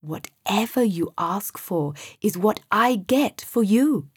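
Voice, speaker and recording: A woman voicing a kindly male genie who speaks in a calm, gentle, knowing way. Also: mid-range voice, takes it slowly, slightly rough, no echo, studio quality, heavily acted.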